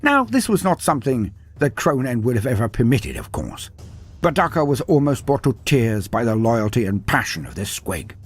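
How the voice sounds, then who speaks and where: Husky, theatrical male story narrator. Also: mid-range voice, wildly swooping pitch, normal speed, faint music behind. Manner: theatrical, mock-grandiose. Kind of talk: dramatic scripted story narration.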